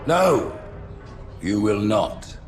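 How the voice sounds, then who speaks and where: An actor playing a commanding, calculating alien religious ruler in a video game, speaking with stately, solemn authority. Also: male voice, on the low side, big pitch swings, very slow, full character voice. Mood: stern, condemning. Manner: stately, solemn, pronouncing judgment. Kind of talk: video game cutscene acting.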